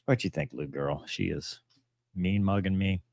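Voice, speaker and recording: A man with a low voice hosts a relaxed live Q&A, recorded close and dry. He talks off the cuff in a matter-of-fact manner, drifting from point to point and letting sentences trail off. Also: measured pace, creaky, no echo. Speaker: live stream host answering viewer messages; pilot and author. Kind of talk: casual chat, live Q&A.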